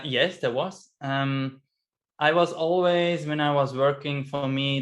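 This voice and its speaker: A man's voice: medium pitch, fairly bright tone. Man, 40s, guest on a video call from home, thoughtful, many fillers and restarts. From Belgium. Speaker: guest, business coach. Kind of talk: casual interview chat. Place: home in Vienna.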